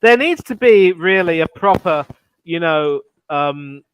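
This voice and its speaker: A man's voice: fairly high pitch, lively intonation, slow, clear, resonant tone, dry recording, rough recording. A man co-hosting a casual football chat, rambling through opinions with lots of "you know" and "um".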